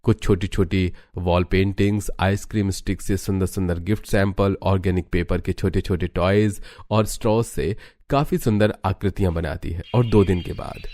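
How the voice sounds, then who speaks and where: A man's voice: deep voice, steady tone, normal speed, mellow, dry recording, clean studio sound. A man reading scripted narration for a family cartoon story.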